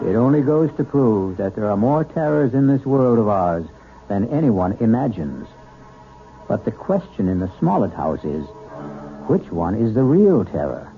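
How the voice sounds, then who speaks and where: A man's voice: fairly low pitch, very animated, normal speed. A male host narrating a radio mystery in a theatrical, ominous manner. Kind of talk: scripted dramatic narration. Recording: tinny old radio with music bed.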